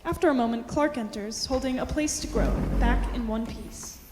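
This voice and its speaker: A woman's voice: a little high, moderate pace, background noise. A woman reading scripted stage directions as narration in a theater. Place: high school theater. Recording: echoey hall, loud piano music behind.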